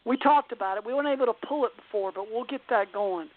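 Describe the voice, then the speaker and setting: A woman's voice: a little high, fast. A woman hosting a call-in radio show in a casual, chatty style over a phone line. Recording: narrow tinny phone-line sound.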